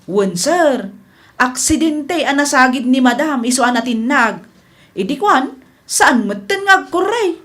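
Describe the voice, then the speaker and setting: A woman's voice: high-pitched, lively intonation, a little slow, a bit bright. A woman playing a meek but candid young employee in a radio comedy drama, deferential and hesitant.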